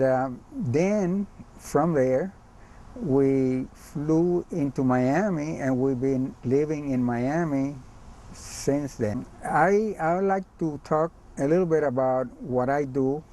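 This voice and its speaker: An older man from Cuba talks about himself at an unhurried pace, with a mellow, warm tone. He pauses often with ums and uhs as he goes. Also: mid-range voice. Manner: hesitant, many ums and uhs. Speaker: visiting artist. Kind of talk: casual spoken account.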